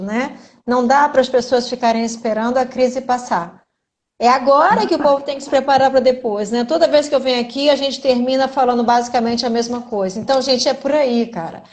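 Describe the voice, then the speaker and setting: Measured woman hosting a chat. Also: high-pitched, a little mellow. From Brazil. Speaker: host, instructor. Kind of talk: live chat with audience.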